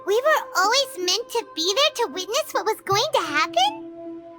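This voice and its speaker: A woman voicing a tiny excitable female fairy companion in a game, with a high squeaky childlike voice and an eager, exclamatory manner. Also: moderate pace. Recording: game audio with music underneath.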